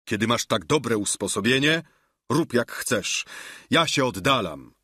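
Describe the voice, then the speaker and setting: A male reader plays a lofty, solemn knight from a storybook. He exhorts kindly and grandly in a husky, mid-pitched voice with animated swings. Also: a little slow, no room sound, studio quality, heavily acted. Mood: earnest.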